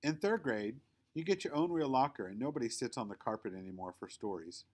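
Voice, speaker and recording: A man's voice: medium pitch, lively intonation, fairly quick, lightly acted. A man voicing a bossy, prissy young girl with an emphatic know-it-all manner, in a children's storybook reading. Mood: lecturing. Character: bossy, prissy young girl, self-assured best friend.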